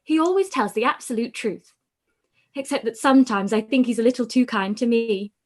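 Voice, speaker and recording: A woman playing a shy, earnest young gentlewoman in a period radio play, speaking politely and modestly. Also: on the high side, fairly quick, close to an everyday voice. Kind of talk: live-read period radio play acting.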